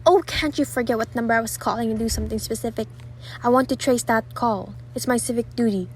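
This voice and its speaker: A woman's voice: fairly high pitch, fast talker, slight background noise, natural voice. A woman playing an anxious, imperious, indignant married lady in an old-fashioned radio thriller, insistent and haughty. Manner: insistent, haughty, overwrought delivery.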